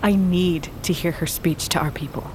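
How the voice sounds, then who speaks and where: A woman's voice: on the low side, steady tone, normal speed, a little mellow, slight background noise, close to an everyday voice. An actress in an audio drama playing a stubborn, loyal young exile, a queen's defiant daughter. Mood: stubborn, resolute. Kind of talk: audio fiction drama acting.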